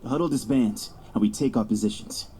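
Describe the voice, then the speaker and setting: A man's voice: medium pitch, fairly quick, background noise, natural voice. An actor playing a young star quarterback, cocky yet anxious, narrating his own story in an audio drama. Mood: determined.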